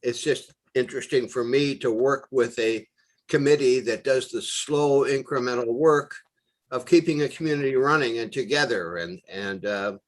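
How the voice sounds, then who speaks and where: A man's voice: mid-range voice, moderate pace. A man committee member speaking earnestly and thoughtfully on a video call. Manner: earnest, reflective, complimentary. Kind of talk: casual meeting chat.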